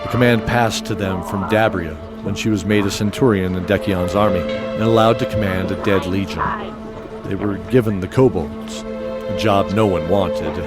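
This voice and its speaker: A man's voice: low voice, steady tone, normal speed. A man reading scripted narration as the narrator of an audio fiction show. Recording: clear music bed behind voice.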